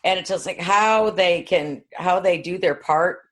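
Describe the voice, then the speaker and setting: A woman's voice: fairly low pitch, measured pace. A middle-aged or older woman, chatting casually as a podcast co-host. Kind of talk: casual chat.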